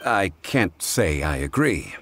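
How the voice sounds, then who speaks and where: A man playing a calm, courteous, erudite gentleman in a video game, speaking in a measured, formal way. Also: sits low, slight background noise, exaggerated voice. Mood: calmly reasoning. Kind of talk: video game character acting.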